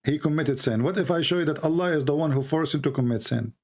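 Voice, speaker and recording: Male debate host, slightly high voice, relentless questioner. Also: quick pace, darkish tone. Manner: pressing, repetitive questioning. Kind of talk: live call-in debate.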